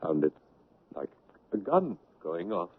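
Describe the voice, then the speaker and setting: An actor in a 1970s radio drama playing a bewildered, anguished man, halting and stammering as he speaks. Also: sits low, big pitch swings, slightly rough, heavily acted. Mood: bewildered, fearful. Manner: halting, stammering, repeating words. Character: anguished, haunted man newly dead, bewildered and lonely. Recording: noisy, narrow-band 1970s radio recording.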